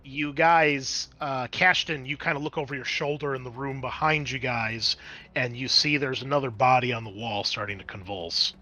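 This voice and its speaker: A man acting as game master, narrating casually and explaining rules in a halting, explanatory way. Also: mid-range voice, steady tone, bright, forceful tone.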